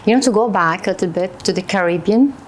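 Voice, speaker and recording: A woman's voice: mid-range voice, fast talker, slight background noise. A woman hosting an interview, encouraging her guest with short affirmations and questions. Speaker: interview host.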